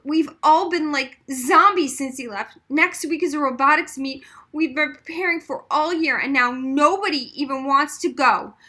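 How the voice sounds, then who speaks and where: A woman's voice: high voice, pushed and bright, pitched above the performer's own voice. A woman voicing an earnest, flustered, brainy schoolgirl who pleads her case in a storybook reading.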